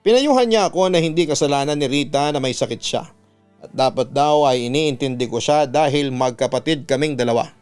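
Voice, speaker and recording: A man's voice: fairly high pitch, a little fast, darkish tone, smooth. A man who works as a radio announcer, narrating a dramatic first-person story. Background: background music.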